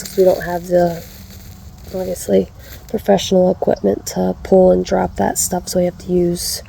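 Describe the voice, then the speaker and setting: A woman's voice: lowish voice, gravelly, mellow, slight background noise, low-quality recording. A woman giving a casual vlog update, stuffy and congested, chatty with lots of ums and restarts. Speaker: vlogger.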